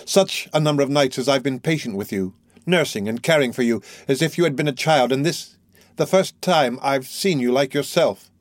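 A tired, tender young woman of a storybook, as a man plays her. She speaks with gentle, long-suffering pleading. The recording is dry and intimate. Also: medium pitch, brisk, few pauses, dry recording, natural voice.

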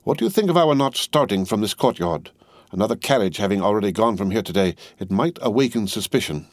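A frantic, tearful lady companion, as a male narrator puts her on, raised well above his own pitch. She pleads in earnest, distressed tones. The storybook reading is dry and close. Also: animated, brisk, no room sound, lightly acted. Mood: distraught. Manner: wild, breathless distress.

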